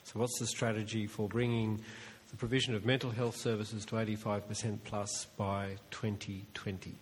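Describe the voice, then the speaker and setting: A man putting a prepared question to a panel at a public forum in a deliberate, emphatic way. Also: fairly low pitch, little pitch movement. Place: large indoor hall, public forum. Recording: echoey hall with background noise.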